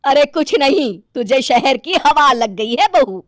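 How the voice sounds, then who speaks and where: A woman voicing a middle-aged mother-in-law in an animated moral story, expressive and theatrical. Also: sits high, a little slow, no echo, clean studio sound. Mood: indignant. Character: middle-aged city mother-in-law, affectionate but easily exasperated.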